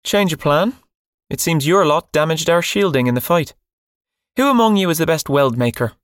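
A man's voice: fairly high pitch, lively intonation, moderate pace, no echo, clean studio sound, voice pushed up, lightly acted. A man voicing a stern, cold young pirate captain who speaks in clipped commands. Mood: commanding.

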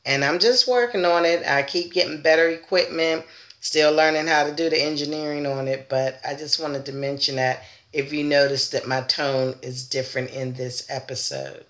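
A woman hosting her own podcast, talking in a loud, chatty way while trying to keep her voice down. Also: sits low, steady tone, moderate pace, echoey room. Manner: loud, chatty, trying to speak more softly. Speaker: podcast host. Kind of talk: casual podcast talk.

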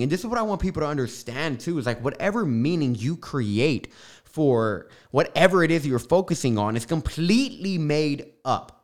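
A man's voice: medium pitch, darkish tone. Man with a noticeable lisp. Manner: rambling, frequent fillers and tangents. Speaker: podcast host. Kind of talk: casual spiritual monologue. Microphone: studio microphone.

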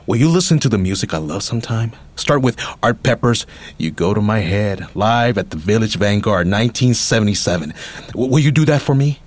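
An actor playing a weary, tender middle-aged uncle haunted by prison in a radio play, confiding haltingly and wistfully. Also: male voice, fairly low pitch, animated. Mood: gently pleading.

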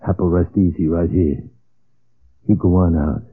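A man acting a gruff, protective veteran convict in a 1940s radio crime drama, with clipped slangy talk. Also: sits low, fairly even intonation, unhurried, full character voice. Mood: weary, fatherly. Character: gruff old-hand convict, protective and worldly-wise. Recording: tinny muffled old radio recording.

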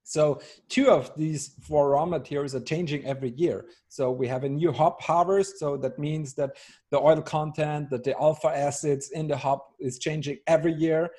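A man's voice: a little high, fairly even intonation, moderate pace, darkish tone. A man in his thirties from Austria with an Austrian German accent, speaking English as a guest on a video call, hesitant with many fillers and restarts and a dry sense of humor. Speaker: brewery ambassador, guest. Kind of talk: casual interview over video call.

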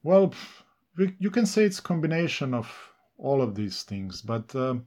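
A male novelist from Slovenia, a native Serbo-Croatian speaker, answering interview questions reflectively in English with many pauses, fillers and restarts. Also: mid-range voice, very animated, a little mellow.

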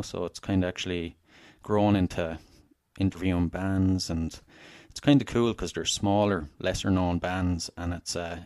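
An Irish man with a very deep voice speaks as a podcast guest. His talk is relaxed and casual, with small restarts as he tells a story. The sound is dry, with no room around it. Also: dry recording. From Ireland (Wexford).

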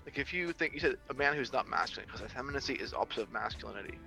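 A man's voice: mid-range voice, lively intonation, racing, vocal fry, bright, forceful tone, soft background music. A young adult man in casual conversation, rambling through ideas with many restarts and fillers. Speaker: social media creator on biblical masculinity.

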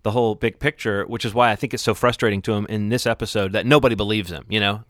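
A man's voice: lowish voice, fairly even intonation, quick pace, a bit bright, dry recording. A man co-hosting a podcast, talking through a TV episode in a casual, rambling, analytical way. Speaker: podcast co-host. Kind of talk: casual TV recap discussion.

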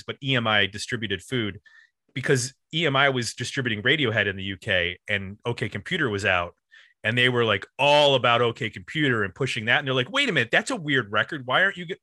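Bright-voiced man, casual podcast host. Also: medium pitch, no echo. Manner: rambling, uses 'like' constantly. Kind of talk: casual music discussion.

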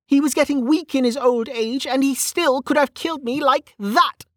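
Woman acting a bitter, high-pitched, hot-tempered woman. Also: moderate pace, a bit bright. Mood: scornful. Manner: snappish, scornful.

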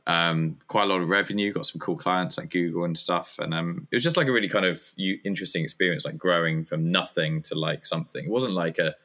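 Deep-voiced man in his thirties, hesitant. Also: fast, hardly any pauses, pushed and bright. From Germany. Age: 30s. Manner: rambling, hesitant with many fillers. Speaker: guest, community founder. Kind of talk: casual interview chat.

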